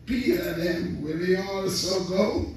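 A slow, measured man with a high voice preaches fervently, repeating his lines and drawing answers from the congregation. He sounds distant from the microphone in a booming, echoing sanctuary. Faint noise sits under the recording. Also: fairly even intonation, vocal fry, darkish tone. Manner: fervent preaching with repeated phrases and call-and-response. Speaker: assistant pastor preaching. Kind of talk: sermon. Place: large church sanctuary.

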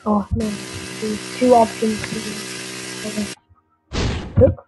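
A woman's voice: mid-range voice, little pitch movement, takes it slowly, rough recording. A young woman casually commenting on a browser game as she plays, hesitant and full of fillers. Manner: rambling, hesitant, many fillers. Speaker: gameplay commentator. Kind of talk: casual let's play commentary. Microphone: computer mic, screen recording. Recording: game music behind.

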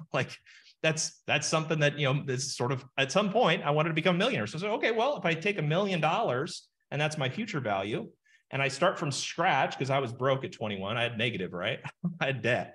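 Animated man teaching money math. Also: medium pitch, fast. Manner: animated, explains with numbers and asides. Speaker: financial planner. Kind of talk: webinar teaching session. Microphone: webcam video call.

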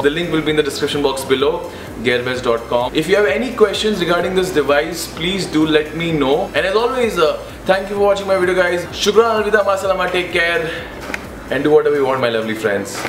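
A man in his twenties with an Indian accent, giving a casual, conversational product review to camera and repeating words for emphasis. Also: fairly high pitch. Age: 20s. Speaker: motovlogger reviewing gadgets. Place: indoors in a living room at home. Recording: roomy echo with clear background noise.

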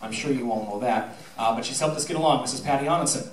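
A middle-aged man giving an informal speech on stage as a theatre director, telling anecdotes in an animated, projecting way. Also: mid-range voice, fast talker, heavy background noise. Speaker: high school theatre director. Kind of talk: informal speech to an audience, with anecdotes and thank-yous. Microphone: handheld microphone through PA, filmed from the audience. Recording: roomy, distant audience-camera recording.

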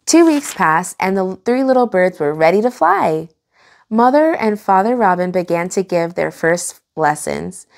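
Young woman, children's storytime host, animated read-aloud, expressive, clearly enunciated. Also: medium pitch, unhurried.

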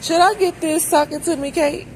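A woman's voice: extremely high pitch, fairly even intonation, moderate pace, a little mellow. A woman chatting casually to the camera in a folksy, informal way, thinking aloud as a vlogger on a shopping trip. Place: inside a large supermarket, walking. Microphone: handheld phone behind the camera. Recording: noisy store background.

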